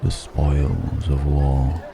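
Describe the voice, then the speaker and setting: A man playing a suave, probing dragon posing as a curious stranger, musing and gently mocking in an intimate ASMR roleplay. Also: a bass voice, monotone, exaggerated voice. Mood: musing, challenging. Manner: deliberate, musing, gently mocking, long pauses. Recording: background music and crowd murmur.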